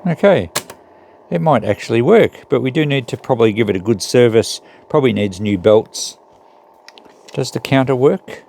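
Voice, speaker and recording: A man's voice: medium pitch, animated, normal speed, creaky, darkish tone, a little hiss or hum. A man giving casual, unscripted commentary as he thinks aloud through a hands-on repair job. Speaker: host of a repair channel.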